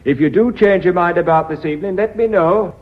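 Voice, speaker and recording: A man's voice: high voice, brisk, lightly acted. A man acting in a radio drama as a flippant, cynical womaniser who flirts persistently. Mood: flirtatious. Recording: muffled lo-fi radio recording, faint hiss.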